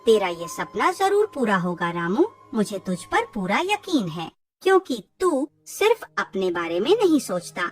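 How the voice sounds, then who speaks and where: A woman playing a poor, loving, worried mother in a cartoon, tender, earnest and expressive. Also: mid-range voice, a little slow, distant mic, soft background music. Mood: earnest, reassuring. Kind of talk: cartoon moral-tale dialogue.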